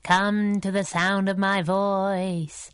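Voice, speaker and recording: An actress playing a brash, wisecracking young superhero sidekick in a 1930s-style radio drama. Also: female voice, mid-range voice, level tone, takes it slowly, clear, resonant tone, dry recording, lightly acted. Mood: teasing. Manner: wisecracking, playful.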